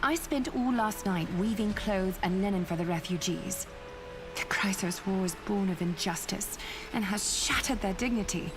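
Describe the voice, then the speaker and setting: A woman's voice: mid-range voice, moderate pace, noisy background, lightly acted. An actress playing a graceful, spirited noblewoman weaver in a video game, poised and formal. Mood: solemn.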